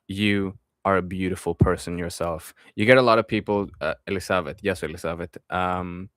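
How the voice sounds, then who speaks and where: A man in his twenties streaming live, reading viewers' comments aloud and answering them in a relaxed, friendly way before drifting back to his topic. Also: low-pitched, moderate pace, no room sound, clean studio sound. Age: 20s. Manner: relaxed, friendly, often trails off with fillers. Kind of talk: casual live-stream chat.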